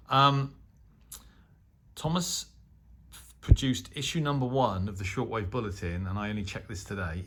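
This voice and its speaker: A middle-aged man with a British accent speaks in a fairly low voice. He hosts a hobby video in a casual, unscripted style. His delivery is matter-of-fact and hesitant, rambling as he finds his words. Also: fairly bright tone. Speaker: shortwave radio hobbyist and video host.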